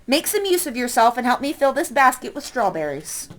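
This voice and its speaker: A woman's voice: sits high, big pitch swings, pitched above the performer's own voice. A woman voicing a nosy, bossy little old woman who orders a boy around while she reads a story aloud. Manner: brisk, commanding, nosy.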